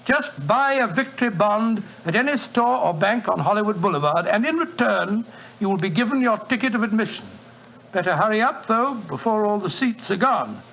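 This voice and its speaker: A genial old doctor who chuckles and chats heartily. His voice sits high and sounds bright and forceful. The old radio recording is muffled. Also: lively intonation, normal speed, gravelly, full character voice. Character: genial older male doctor and storyteller. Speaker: doctor narrator in a radio play. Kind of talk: 1940s radio acting.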